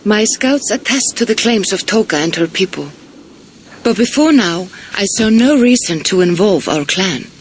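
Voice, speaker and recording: An actor playing a calm, cautious Viking clan adviser. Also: mid-range voice, moderate pace, slight background noise, natural voice.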